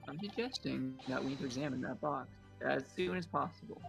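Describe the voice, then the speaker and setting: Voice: medium pitch, brisk, creaky. A person acting out a lawyer's lines during a casual group game playthrough. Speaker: player voicing a defense lawyer in a fan dub. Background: game music.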